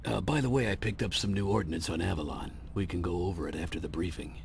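A man's voice: deep voice, fast talker, vocal fry, slight background noise. A man voicing an easygoing starship weapons engineer with a casual manner in a video game.

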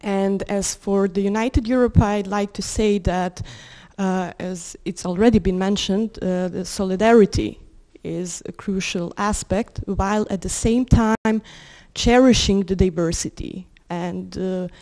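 Mellow young woman. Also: mid-range voice, fairly even intonation, measured pace. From Serbia. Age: young adult. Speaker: panel participant. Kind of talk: discussion contribution. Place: medium indoor room with seated audience. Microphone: handheld microphone.

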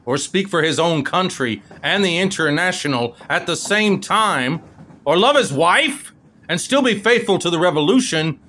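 A man's voice: fairly high pitch, lively intonation, moderate pace, bright, forceful tone. A man with an American accent acting an impassioned, principled revolutionary writer in a historical drama, firm and emphatic. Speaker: voice actor.

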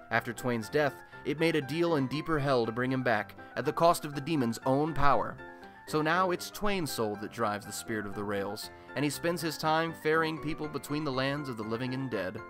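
A man's voice: medium pitch, lightly acted. A man playing a chatty, wry radio host in a superhero city, telling scripted comic stories in a colloquial, theatrical way for a radio play.

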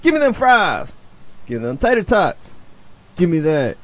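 Greedy woman, played by a man, pitched up high, shouty and demanding, for a comic meme dub. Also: wildly swooping pitch, measured pace, exaggerated voice. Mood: greedy, frantic. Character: greedy, ravenous woman demanding fast food. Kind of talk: comedic meme voiceover.

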